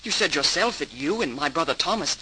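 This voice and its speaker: A man's voice: fairly high pitch, expressive, brisk, pushed and bright, rough recording. A man acting an earnest, eager nine-year-old boy with a youthful voice in an old radio drama. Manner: eager, earnest, wide-eyed. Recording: hissy 1940s radio recording.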